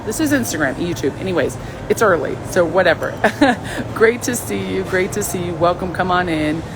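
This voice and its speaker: A woman with a raspy voice hosting a live stream, chatting casually and reading viewer comments in a blunt, outspoken, playful way. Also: on the low side, normal speed, background noise.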